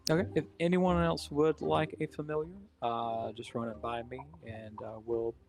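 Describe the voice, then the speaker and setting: A man acting as game master of a tabletop game, explaining things in a relaxed way with plenty of ums and uhs. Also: medium pitch, normal speed. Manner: relaxed, filler-heavy explaining.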